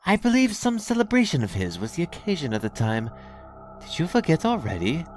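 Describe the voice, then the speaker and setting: Sly, sing-song alien raider lord. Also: male voice, fairly high pitch, huge pitch swings, no room sound, faint music behind. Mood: mocking, self-satisfied. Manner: mock-polite, sardonic, drawn-out menace. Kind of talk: scripted audio drama acting.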